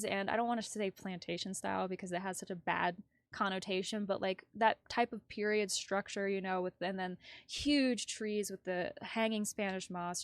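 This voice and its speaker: A woman's voice: medium pitch, no echo, studio quality. A woman hosting a casual livestream news commentary, chatty and rambling as she thinks aloud. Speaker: livestream host.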